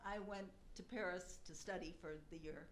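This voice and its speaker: A woman's voice: on the low side, moderate pace, a crowd in the background. A woman in her seventies asking a casual, personal question from the audience, searching for her words as she goes. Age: 70s. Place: live book talk audience. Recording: slight noise on event recording.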